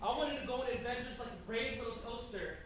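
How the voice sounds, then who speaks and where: A man's voice: very high voice, fast talker, a bit bright, distant mic, slight background noise. A young man performing a spoken word poem live, rhythmic and emphatic, trading lines with a partner. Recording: echoey room heard from afar.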